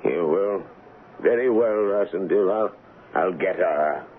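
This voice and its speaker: A slow, fretful loyal aide. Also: male voice, deep voice, music in the background. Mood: hesitant concern. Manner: hesitant, fretful. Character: anxious loyal royal aide, worried for his friend. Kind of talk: radio drama acting. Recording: dull, faintly noisy 1970s radio broadcast.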